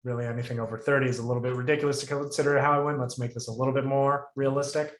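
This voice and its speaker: A man explaining code on a video call, his middle-pitched voice pausing and restarting as he works things out. The sound is roomy, with a clear echo around him. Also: flat delivery. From Puerto Rico. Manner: thinks aloud, frequent restarts and fillers. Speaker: instructor. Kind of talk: live coding lesson review.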